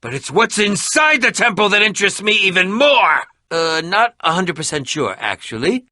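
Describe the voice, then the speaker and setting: A man voicing an excitable, eccentric and pompous adventurer-scholar for a video game, theatrical and grandiose, with dramatic pauses and sudden outbursts. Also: sits high, no room sound, studio quality, full character voice. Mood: intrigued, grandiose. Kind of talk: animated video game character acting.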